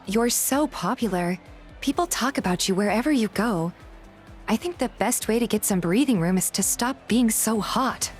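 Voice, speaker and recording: A woman's voice: a little high, expressive, normal speed, faint music behind, lightly acted. A woman voicing a playful young woman who poses as a doting girlfriend in an audio drama. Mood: sweet, teasing. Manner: affectionate, teasing, play-acted sweetness.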